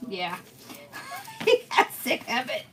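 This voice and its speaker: A chatty woman with a bright, somewhat high voice hosts a craft livestream from a room at home. She talks casually, answers viewer comments and laughs often as she works. A faint hiss of noise sits under her voice. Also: wildly swooping pitch, drawn-out words. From born in Pennsylvania, raised in Georgia. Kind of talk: casual live-stream chat while crafting.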